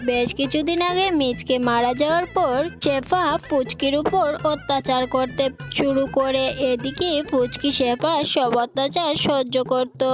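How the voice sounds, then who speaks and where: A woman with a high-pitched voice narrates a scripted cartoon tale. Music is clearly heard behind her.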